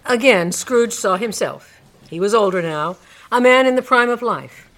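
A woman's voice: fairly high pitch, lively intonation, moderate pace, a bit bright, poor audio. A woman reading scripted storybook narration as the narrator of a radio drama.

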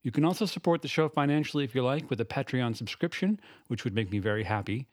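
A man's voice: medium pitch, lively intonation, quick pace, no echo, studio quality. A man narrating a scripted podcast as its host, with a literary, ornate way of phrasing.

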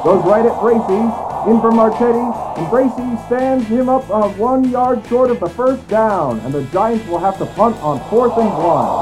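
Casting: male actor as excitable vintage football broadcaster, high-pitched, calling plays over music. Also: poor audio. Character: excited 1950s football play-by-play radio announcer. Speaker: sports announcer. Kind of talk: sports broadcast commentary.

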